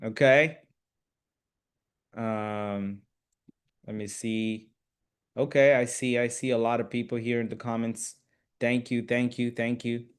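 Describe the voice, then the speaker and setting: A man's voice: mid-range voice, little pitch movement, slow pace, long pauses, clear, resonant tone. A man from Tanzania, an English teacher hosting a live online class, talking straight to his viewers in an encouraging, direct way. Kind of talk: live webinar talk to viewers.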